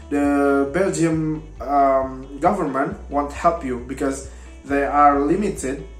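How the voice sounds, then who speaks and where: A young man, a non-native speaker whose first language is Indonesian, giving a class presentation in a halting way with many fillers and broken grammar. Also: a little high. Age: young adult. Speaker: university student presenting. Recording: echoey room with faint guitar music.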